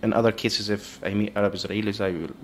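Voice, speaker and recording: A man's voice: on the low side, fairly even intonation, fast talker, slight background noise. A man whose first language is Arabic, answering interview questions in English with frequent hesitant fillers. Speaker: graduate student guest.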